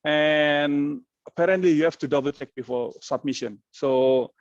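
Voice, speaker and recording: A man's voice: a little high, moderate pace, dry recording, highs cut off. A man from Indonesia with an Indonesian accent, giving an informal webinar lecture in plain, conversational English, which is not his first language. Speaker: webinar speaker, researcher.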